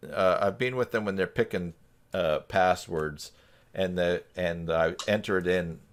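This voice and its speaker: A man's voice: low-pitched, unhurried. A man hosting an informal live group video chat, talking in a casual, rambling way. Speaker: live stream host.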